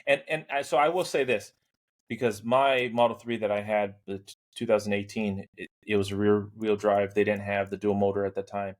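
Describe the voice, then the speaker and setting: A man's voice: on the low side, level tone, a little fast. A man co-hosting a podcast in casual panel chat. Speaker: podcast co-host.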